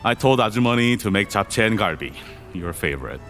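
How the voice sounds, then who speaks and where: An older tycoon father for a podcast drama. His voice is low and weighty, his manner reserved and measured, with faint music underneath. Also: expressive, fairly bright tone. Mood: fatherly. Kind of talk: audio drama acting.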